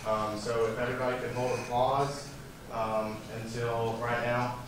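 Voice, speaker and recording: A soft-spoken man moderating a debate, explaining the rules and reading questions with frequent fillers. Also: medium pitch, flat delivery, normal speed. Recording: echoey hall, from far off, noisy.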